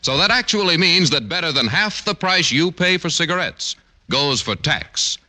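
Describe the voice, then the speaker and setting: A man's voice: on the high side, sing-song, normal speed, loud music behind the voice. A man with an American accent reading scripted announcements and ads in 1950s radio style, on a vintage broadcast.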